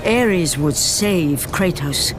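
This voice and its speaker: An actor playing a solemn, portentous storyteller, narrating a mythic video game tale in measured, dramatic phrases. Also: male voice, a little high, expressive. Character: solemn omniscient storyteller of a Greek myth. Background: very loud dramatic music.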